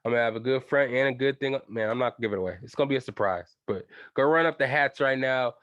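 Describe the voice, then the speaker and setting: A man's voice: mid-range voice, fast talker, fairly bright tone, no room sound, muffled recording. A young man with a stuffy nose hosting his own podcast alone, chatting loosely in slang and swearing, talking straight to his listeners. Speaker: podcast host. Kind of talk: casual solo podcast chat.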